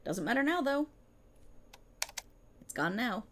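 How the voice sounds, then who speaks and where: A woman's voice: fairly high pitch, big pitch swings, slow pace, fairly bright tone, a little hiss or hum, pitched above the performer's own voice, exaggerated voice. A woman performing an ASMR roleplay as a smug, vindictive multiverse police officer, with a theatrical, sarcastic and mocking delivery. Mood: gloating, vindictive.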